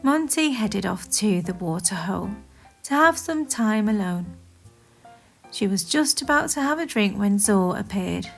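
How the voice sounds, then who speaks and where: A woman reading a children's story aloud as expressive scripted narration, in a deliberate, animated manner. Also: mid-range voice, creaky, faint music behind.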